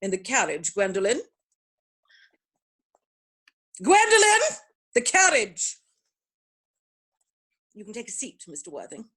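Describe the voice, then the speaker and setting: A woman plays a haughty Victorian matriarch from a stage comedy. Her voice is high and husky, and it swoops grandly as she pronounces on everything with pompous, snobbish certainty. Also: wildly swooping pitch, a bit bright, heavily acted. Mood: stern, commanding. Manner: pompous, haughty, emphatic, deliberate delivery. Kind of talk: theatrical comedy of manners reading. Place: virtual performance from home in isolation.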